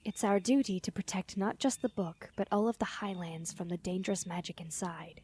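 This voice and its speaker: A calm but firm mother who guards dark magic played by a woman in a fantasy audio drama. Her medium-pitched voice is serious and deliberate, with a protective weight to it. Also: breathy edge, lightly acted.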